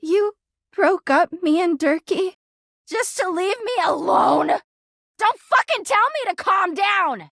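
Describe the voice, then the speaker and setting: Video game role: lovesick female object come to life, needy, passive-aggressive, high-pitched with very animated swings. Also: takes it slowly, vocal fry, dry recording, studio quality, full character voice. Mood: furious, hurt. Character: clingy, volatile, lovesick female living laundry hamper. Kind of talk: video game character acting.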